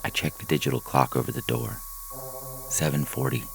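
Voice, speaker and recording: A man reading a first-person short story as a narrator, in a measured, deliberate way, from a script. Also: extremely low pitch, very animated, gravelly, noisy background. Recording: music bed under the voice. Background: synthesizer music.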